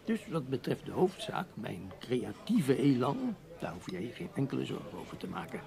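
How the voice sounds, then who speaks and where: Fussy, creaky-voiced literary gentleman. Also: medium pitch, big pitch swings, normal speed. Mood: resigned, protesting. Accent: Dutch, Netherlands. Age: middle-aged. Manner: wordy, hesitant, protesting with repeated little words. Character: proud, resigned middle-aged literary newspaper writer, gentlemanly. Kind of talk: Dutch radio play acting.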